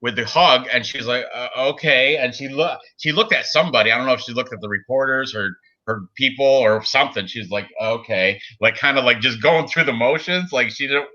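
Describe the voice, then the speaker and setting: A man's voice: medium pitch, brisk, few pauses, sharp tone, highs cut off. A man in his forties hosting a casual sports betting chat, rambling with lots of fillers and frequent laughs. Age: 40s. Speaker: podcast host.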